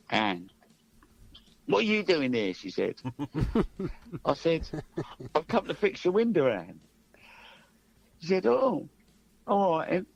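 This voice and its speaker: A man's voice: fairly high pitch, gravelly, muffled recording. A middle-aged man with a southern English accent, a musician guest chatting casually on the radio in a blokey way and repeating himself. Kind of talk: casual chat and anecdote.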